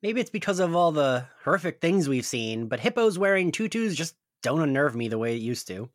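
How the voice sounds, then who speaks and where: A man's voice: on the high side, big pitch swings, a little fast, dry recording. Wry laconic college guy read by a man, table read, dry close sound. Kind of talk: table read of a TV comedy-horror script.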